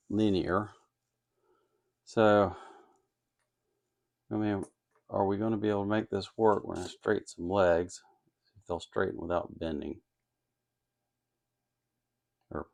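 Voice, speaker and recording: A man's voice: low-pitched, creaky, a little mellow. A man narrating hands-on work casually and without a script, musing and hesitating as he thinks aloud. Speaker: host of an electronics kit-building channel. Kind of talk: casual, unscripted workbench narration.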